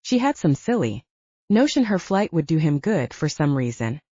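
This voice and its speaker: A woman narrates scripted fiction in a lowish, mellow voice. Her pitch moves around expressively as she reads. The sound is close and dry, slightly dull. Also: big pitch swings, moderate pace, husky, no echo. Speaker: audiobook narrator.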